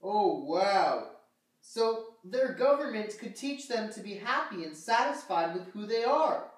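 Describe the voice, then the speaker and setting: A woman's voice: medium pitch, animated, a little slow, lightly acted. A woman voicing Gilbert, a naive, eager young male shoe puppet who asks simple wide-eyed questions in a children's puppet show. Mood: amazed. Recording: very echoey room, slightly distant.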